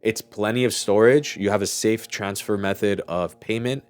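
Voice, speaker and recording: A man's voice: on the low side, moderate pace, smooth. A man speaking in a casual podcast chat, explaining things informally as a host. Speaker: audio mixing engineer.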